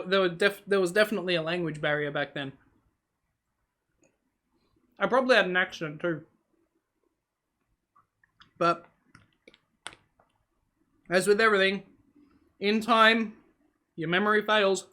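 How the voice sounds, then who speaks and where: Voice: medium pitch, pushed and bright. A vlogger whose first language is Hungarian, talking casually to camera while snacking, musing aloud and wandering between thoughts with lots of fillers and self-corrections. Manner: talks while eating on camera. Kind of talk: casual rambling vlog.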